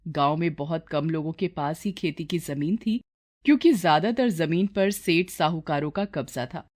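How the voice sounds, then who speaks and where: A woman's voice: low voice, moderate pace, a little mellow. A woman narrating a scripted moral story as the storyteller.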